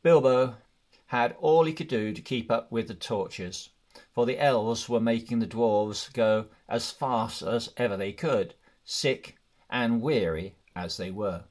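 Male storybook narrator, mid-range pitch, slow and deliberate read. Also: a little mellow. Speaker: audiobook reader.

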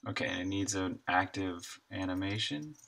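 A man in his thirties with a deep, crisp-edged, bright voice. He talks casually to himself as he works, thinking aloud in short, broken-up phrases like a developer on a live stream. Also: expressive, moderate pace. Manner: half to himself, in short fragments. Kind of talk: casual think-aloud commentary while working.

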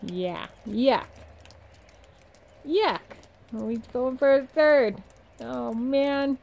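A young woman in her twenties, talking in a relaxed, chatty way as she films herself outdoors at a barn. She holds the phone out at arm's length. A faint outdoor hiss sits behind her voice, with horses moving about nearby. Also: high-pitched, drawn-out words, gravelly. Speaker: vlogger, horse trainer. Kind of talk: casual vlog chat and voice-over. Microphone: phone held at arm's length.

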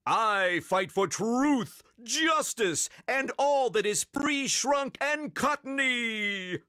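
A man's voice: very high-pitched, expressive, very slow, bright, forceful tone, no room sound, studio quality, voice pushed up, heavily acted. A grandiose, silly cartoonish superhero played by a male storybook reader proclaims in a raised, swooping voice. He is proud and heroic, declaiming like a champion of justice.

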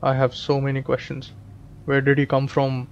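Indian man with flat, even delivery. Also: mid-range voice, normal speed, vocal fry, highs cut off. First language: Hindi. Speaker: gaming video host. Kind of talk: casual gameplay commentary, reading game text aloud.

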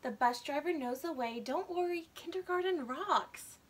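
A woman's voice: high voice, animated, normal speed. A woman voicing a reassuring, teasing third-grade big sister from a storybook, matter-of-fact and slightly sassy.

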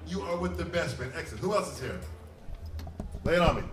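A man's voice: a little high, expressive, quick pace, recorded from a distance, over music. A man hosting a live panel in a convention hall, upbeat and encouraging as he calls on audience members. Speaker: panel host.

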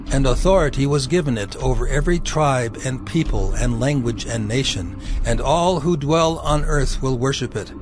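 A man narrating dramatized scripture in a solemn, measured manner. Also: mid-range voice.

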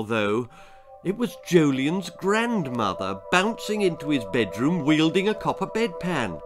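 An older man reads a spooky tale for children with theatrical suspense. His voice sits fairly high for a man and moves slowly. Music plays clearly behind him. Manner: theatrical, suspenseful, drawn-out delivery. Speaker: author reading own story. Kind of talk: dramatic children's ghost story reading. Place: small book-lined room indoors.

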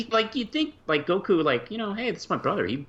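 Animated man thinking aloud, dull audio. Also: sits high. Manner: conversational, halting, thinking aloud. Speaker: podcast co-host. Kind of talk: casual review chat.

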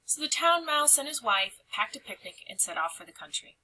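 A woman reading a children's storybook aloud in an expressive, animated and carefully phrased narration. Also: high voice, fairly quick, low-quality recording. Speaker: storybook reader.

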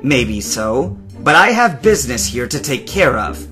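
A man reading a story aloud, voicing a calm, stern hooded teenage stranger in his own voice. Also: mid-range voice, measured pace, faint music behind. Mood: defiant.

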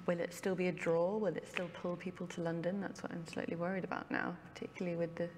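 A woman hosting a studio panel discussion, leading the guests with quick questions. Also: fairly low pitch, little pitch movement, long reverb tail, slight background noise. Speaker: panel host.

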